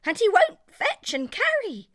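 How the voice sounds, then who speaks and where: Brief: eager storybook dog, very high, loud and nagging. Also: female voice, wildly swooping pitch, measured pace, no echo, studio quality, exaggerated voice. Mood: indignant.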